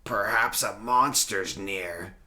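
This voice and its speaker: A man's voice: on the low side, slow pace, sharp tone, exaggerated voice. A man voicing frightened, greedy raptors in a children's storybook, with an alarmed, wailing delivery.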